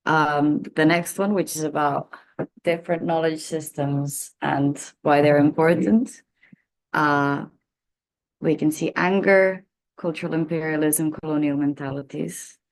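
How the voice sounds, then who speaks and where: A woman's voice: low-pitched, fairly even intonation, a little slow, low-quality recording. A woman from Serbia, guiding a seminar audience through a workshop activity with frequent "um" fillers, heard over a video call. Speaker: workshop facilitator. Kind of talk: leading a workshop.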